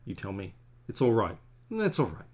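A man's voice: on the low side, wildly swooping pitch, moderate pace, a little mellow, breathy edge. A man in his forties, a comic artist chatting in a rambling, self-deprecating way to stream viewers while he draws at home. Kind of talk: rambling casual chat while drawing. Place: home studio at a drawing desk.

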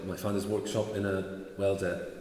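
A man in his thirties from Italy, speaking English with an Italian accent touched by Scottish, relaxed as an interview guest. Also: sits low, moderate pace. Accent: Italian with a Scottish tinge. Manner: relaxed, gesturing while he talks. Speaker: sword maker, interview guest. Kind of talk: casual interview answers. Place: large bare hall, indoors. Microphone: far camera. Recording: echoey hall with faint noise.

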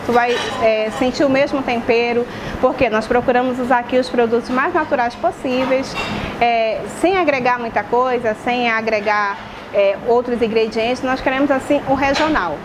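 A woman's voice: a little high, moderate pace, noisy background. A middle-aged woman chef, explaining regional dishes in an interview in a restaurant dining room. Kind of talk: on-camera interview.

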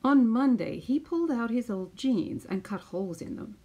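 A woman in her fifties, a teacher, speaking to pupils and reading a children's picture book aloud with deliberate, emphatic phrasing. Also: fairly high pitch, expressive, dark tone, breathy edge. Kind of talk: children's storybook read-aloud.

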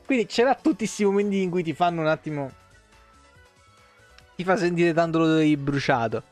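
A man's voice: a little high, a little fast, faint music behind. A man in his thirties with a Roman Italian accent, chatting casually as a game streamer, rambling and swearing freely into a close desk microphone. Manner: profane, rambling, expressive. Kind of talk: casual chatty livestream commentary. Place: small room at home.

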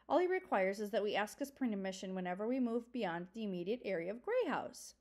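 A woman voicing a stout, stern deer mouse father who lectures gravely and self-importantly in a storybook reading. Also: medium pitch, a little mellow, natural voice.

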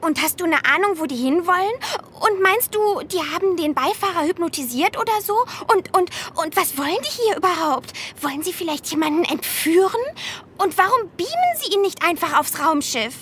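A curious little girl from a children's radio play. Her voice is very high and she talks eagerly, full of inquisitive questions. There is faint rumbling noise behind her. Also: expressive, normal speed, a bit bright. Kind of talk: children's audio drama acting.

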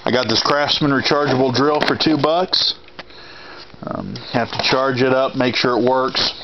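A man's voice: medium pitch, fairly even intonation, a little slow. A man in his thirties with a Texas accent, casually walking through his auction haul in a plainspoken, rambling way, filmed on a handheld camera indoors. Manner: rambling, plainspoken, frequent restarts. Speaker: auction reseller vlogger. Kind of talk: casual haul video walkthrough. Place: indoor storage room. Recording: dull lo-fi camera audio with clear noise.

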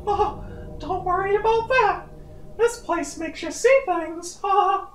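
A creepy cartoon mouse, sweetly coaxing. The squeaky falsetto is very high and slow, in a roomy echo over faint music. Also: male voice, fairly bright tone, slight background noise, full character voice. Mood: eerily coaxing. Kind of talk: cartoon horror parody dub.

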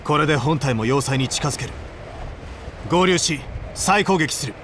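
An actor playing a stern, driven young military squad leader in a Japanese video game. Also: male voice, fairly high pitch, lively intonation, moderate pace, fairly bright tone, slight background noise. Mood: commanding. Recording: music behind the voice.

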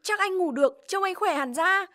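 A woman playing a little baby chick in a cartoon, with a squeaky, childlike voice. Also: normal speed, sharp tone. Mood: timid, polite. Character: carefree little baby chick, timid and polite. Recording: music behind the voice.

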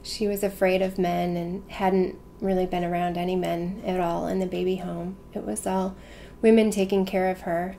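A woman in her thirties talks reflectively in a documentary interview. Her pitch is mid and stays very flat, and she sounds a little away from the mic in an echoey room. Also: normal speed, vocal fry, few pauses. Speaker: adoptive parent, interviewee. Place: room at home, indoors. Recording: echoey room, distant mic, faint noise.

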